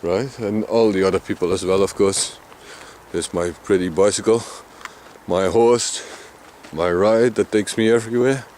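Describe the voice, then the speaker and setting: A man from Limburg with a Dutch accent and a deep voice, chatting casually to his camera outdoors while out on a bicycle trip, sometimes mumbling a little. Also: fairly even intonation, moderate pace, darkish tone, a little hiss or hum. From Limburg, Netherlands. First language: Dutch Limburg dialect. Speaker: vlogger. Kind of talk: casual outdoor vlog narration. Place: outdoors in flat open countryside.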